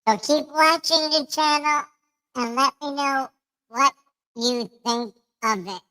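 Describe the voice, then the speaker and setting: Man putting on a bright squeaky livestream voice. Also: slow pace, exaggerated voice, rough recording. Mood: chatty. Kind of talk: casual livestream chat.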